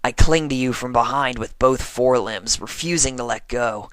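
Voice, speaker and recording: A performer reading fan fiction aloud as a dramatic first-person narration, in a deliberate manner. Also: medium pitch, fairly bright tone, no room sound.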